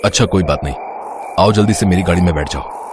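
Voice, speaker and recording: Man playing a low-voiced, soothing young suitor. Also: fast talker, noisy background, close to an everyday voice. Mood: concerned. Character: young man, gentle sweet-talking suitor hiding a trap. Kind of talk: dramatised Hindi moral story.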